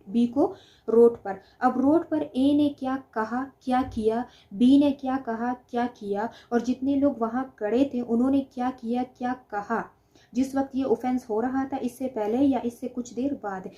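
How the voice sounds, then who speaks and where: A woman's voice: on the high side, moderate pace, mellow. A woman lecturing in an explanatory way, posing questions and answering them herself, repeating key phrases. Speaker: law lecturer. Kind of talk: explanatory lecture with simple examples.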